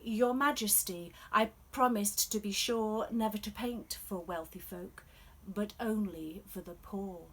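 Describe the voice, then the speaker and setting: A woman's voice: medium pitch, steady tone, a little slow, husky. A woman voicing a poor but steadfast girl painter in a storybook, polite and composed. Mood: polite, firm.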